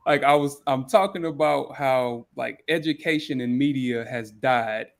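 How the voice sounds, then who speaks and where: A man's voice: mid-range voice, a little slow, gravelly. Playful man with a St. Louis accent. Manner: playful, teasing. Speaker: stand-up comedian. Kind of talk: casual chat.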